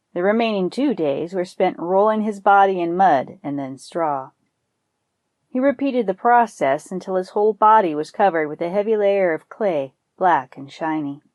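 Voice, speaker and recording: A woman reading a scripted folktale as an expressive storyteller. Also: fairly low pitch, dry recording. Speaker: podcast storyteller.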